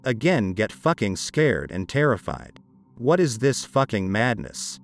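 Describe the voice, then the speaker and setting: Man narrating creepy stories, lively pitch. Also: unhurried. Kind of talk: scripted narration of horror greentext stories. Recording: dark ambient music behind. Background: dark horror background music.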